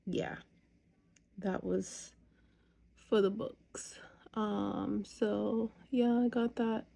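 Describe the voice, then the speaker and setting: A woman's voice: mid-range voice, steady tone, extremely slow, gravelly, dark tone. A woman in her thirties chatting casually as she does her makeup, rambling with lots of ums. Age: 30s. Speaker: makeup vlogger. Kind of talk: casual chat while doing makeup.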